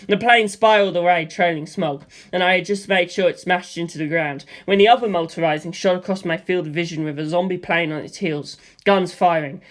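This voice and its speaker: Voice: medium pitch, fairly even intonation, a little fast, hardly any pauses. A narrator telling a first-person action story, voicing gunfire and explosions aloud. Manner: vivid storytelling with spoken sound effects for gunfire and explosions.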